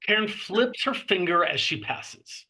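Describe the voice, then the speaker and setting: A man's voice: sits high, lively intonation, unhurried, sharp tone. A man reading screenplay action lines and scene headings as narrator at a table read. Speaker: stage directions reader.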